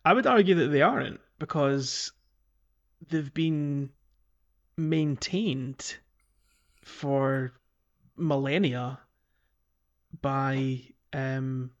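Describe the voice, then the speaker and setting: A man with a medium-pitched voice talks casually as a podcast host. His delivery is conversational and somewhat halting, as if he is working out each thought while he speaks. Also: muffled recording. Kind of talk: casual video game discussion.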